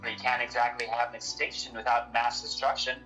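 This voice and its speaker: A woman's voice: very deep voice, little pitch movement, fairly quick, pushed and bright, low-quality recording. A woman in an in-character panel discussion, talking in a dry, pointed, challenging manner. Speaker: podcast panelist in character as a researcher. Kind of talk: semi-improvised in-character discussion of a horror audio drama. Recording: music playing behind the voice. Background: music.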